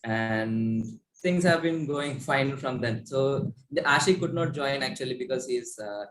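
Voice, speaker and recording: A man's voice: mid-range voice, measured pace, fairly bright tone, slight background noise. A man in his twenties with an Indian accent, chatting casually as a musician guest in an interview, telling stories in a rambling way. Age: 20s. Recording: roomy, echoey sound.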